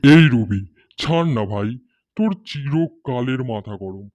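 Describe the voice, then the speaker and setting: A man's voice: mid-range voice, animated, unhurried, a little mellow, no echo, close to an everyday voice. An actor playing an anxious young man in a cartoon horror story, dramatic and pleading. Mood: concerned. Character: young male doctor friend, anxious and pleading. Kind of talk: acted Bengali cartoon horror story dialogue.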